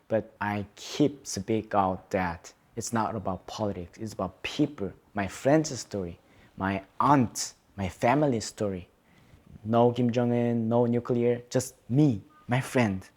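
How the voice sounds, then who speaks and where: A young man from North Korea with a Korean accent, speaking English as a second language in an earnest, halting way, often restarting his sentences. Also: lowish voice, a little mellow. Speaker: advocacy speaker. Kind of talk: interview testimony.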